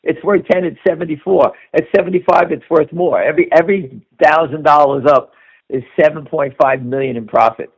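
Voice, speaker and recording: A man's voice: fairly high pitch, brisk, vocal fry, husky. A man hosting a stock-market call with unscripted, emphatic commentary, firing off short punchy statements and rhetorical questions. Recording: dull, lo-fi conference call audio.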